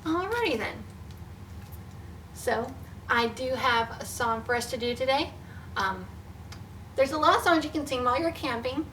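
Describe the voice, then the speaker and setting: A woman leading a storytime for preschoolers, expressive, explaining things in simple words for young children. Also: sits high. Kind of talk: picture-book read-aloud and craft talk for preschoolers. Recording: distant mic with faint background noise.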